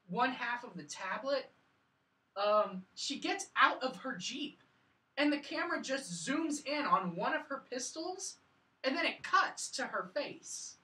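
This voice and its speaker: A woman co-hosting a film review podcast, casually recounting scenes in an animated way, peppering sentences with "like". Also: a little high. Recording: roomy, a bit far from mic.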